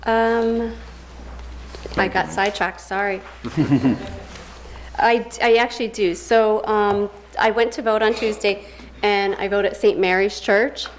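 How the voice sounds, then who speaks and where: A woman's voice: medium pitch, fairly even intonation, slow, long pauses, a little hiss or hum. A woman council member asking informal questions at a public meeting.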